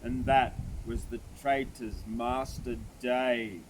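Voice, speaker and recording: A man reciting a bush ballad in a theatrical, measured way with emphatic phrasing. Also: fairly even intonation. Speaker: poetry reader. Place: outdoors at a cave site. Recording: very noisy, clicks, vehicle-like rumble.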